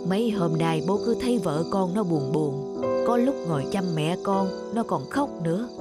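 A woman voicing a grave, kindly elderly father in a cartoon, giving measured counsel. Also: fairly low pitch, lively intonation, over loud music, close to an everyday voice. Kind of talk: scripted cartoon dialogue.

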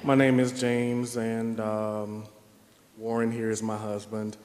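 Man, low voice, very slow, hesitant, church reverb. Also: little pitch movement, a little mellow. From South Georgia. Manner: hesitant, with fillers. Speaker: new church member.

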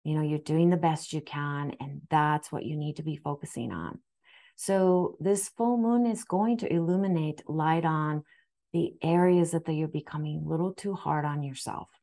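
A woman in her forties whose first language is Japanese gives an earnest, gentle spiritual talk to camera from a room at home, often restarting her words. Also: sits low, fairly even intonation, moderate pace. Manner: soft, earnest, with frequent restarts and fillers. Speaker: spiritual astrology guide. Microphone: webcam framing, seated facing camera.

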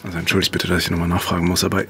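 An actor playing a careful, probing interviewer in an audio drama, polite and hesitant. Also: male voice, low voice, completely flat delivery, rapid-fire, fairly bright tone, soft background music. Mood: tentative. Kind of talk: naturalistic audio drama acting.

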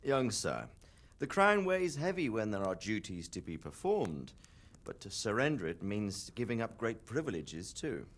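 A man's voice: lowish voice, big pitch swings, moderate pace. An actor playing a dignified knight and father who questions others politely. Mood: courteous. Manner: courteous, probing. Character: dignified older male knight, courteous and probing.

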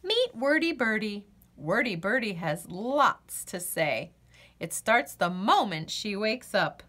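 A woman narrating a children's storybook aloud with expressive, deliberate phrasing. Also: mid-range voice.

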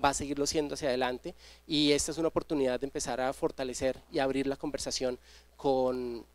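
A man, introducing a guest speaker at a university seminar, pausing often with filler sounds, speaking into a handheld mic. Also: mid-range voice, level tone, no echo. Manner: hesitant, many filler sounds and repeated words. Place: university seminar room with audience, indoors. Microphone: handheld microphone.

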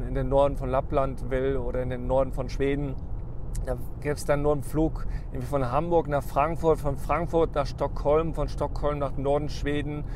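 A man's voice: fairly high pitch, darkish tone. A man, hosting a casual travel vlog while driving and rambling with many ums and restarts. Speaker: vlog host. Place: inside a car driving through snowy forest. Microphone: camera on the dashboard. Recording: faint car noise.

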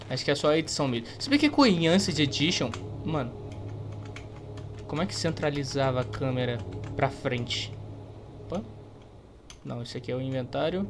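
Young brazilian guy doing lets play commentary, highish voice, jokey slang, bit of music behind. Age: young adult. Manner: playful, slangy, swearing. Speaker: gaming YouTuber. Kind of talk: casual gameplay commentary.

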